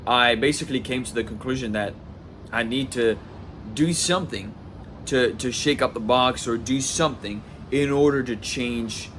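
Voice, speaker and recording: A man's voice: mid-range voice, fairly bright tone, a little hiss or hum. Rambling young man vlogging. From southwest France. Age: 20s. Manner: rambling, frequent "like" and restarts. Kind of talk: casual personal vlog monologue.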